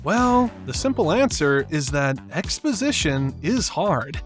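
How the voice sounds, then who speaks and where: A man's voice: fairly high pitch, big pitch swings, unhurried, soft background music. A man delivering scripted narration as the narrator of a video essay.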